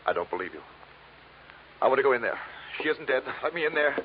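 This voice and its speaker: A man's voice: mid-range voice, lively intonation, fast talker, phone-quality sound, natural voice. A man playing an anxious, scholarly archaeologist in an old radio drama, hesitant and formal, stammering when pressed. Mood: distraught. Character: anxious, scholarly male archaeologist, desperate and evasive. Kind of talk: 1940s-50s radio drama acting. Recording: hissy, band-limited vintage radio recording.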